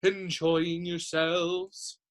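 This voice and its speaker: Performer voicing a proud, mournful gentleman ghost. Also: medium pitch, flat delivery, extremely slow. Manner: stiff, formal, pompous.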